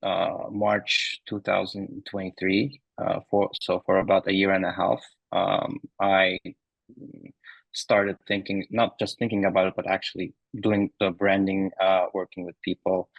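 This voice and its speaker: A man in his thirties from Turkey, answering interview questions in a relaxed way with many ums and uhs. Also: deep voice, a little slow, gravelly, no room sound, dull audio. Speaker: founder and guest. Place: remote recording.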